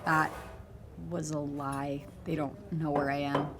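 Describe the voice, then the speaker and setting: A woman's voice: sits low, flat delivery, slow, noisy background. An actress in an audio drama playing a shy, polite teenage girl hitchhiker, hesitant and self-effacing. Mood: nervous. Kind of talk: audio fiction drama acting.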